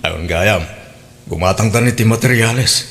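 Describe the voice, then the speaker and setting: A man's voice: lowish voice, moderate pace, fairly bright tone. A man playing a hardworking, agreeable husband with a plain, resigned manner in a radio drama. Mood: earnest, agreeable. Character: hardworking, agreeable husband running a small market business.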